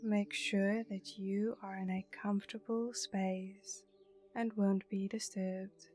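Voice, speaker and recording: Slow, mellow woman guiding a meditation. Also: mid-range voice, level tone. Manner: soothing, hushed, breathy phrase endings. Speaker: guided meditation narrator. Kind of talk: guided meditation, scripted narration. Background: meditation music.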